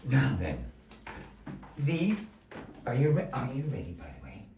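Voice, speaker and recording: A theatrical man telling a puppet-show story with a lively, swooping delivery, unhurried and deliberate. He sounds distant, in a roomy, echoey gallery with a soft background hum of people. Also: mid-range voice. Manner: theatrical, playful, talks to the audience between story parts. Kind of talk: live puppet show narration with audience chat. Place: gallery venue, live show. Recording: echoey room, mic at a distance.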